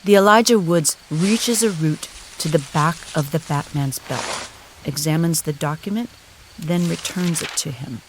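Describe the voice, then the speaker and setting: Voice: medium pitch, unhurried, noisy background. A narrator giving formal, wordy, mock-serious dramatic narration for an improvised audio comedy. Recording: prominent music behind voice. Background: music.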